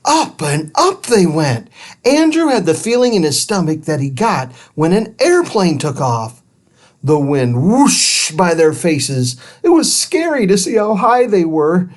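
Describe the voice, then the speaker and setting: A man reading a children's adventure book aloud in an expressive, dramatic style, stretching words for suspense. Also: high voice, unhurried. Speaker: storybook reader. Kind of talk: expressive children's audiobook narration.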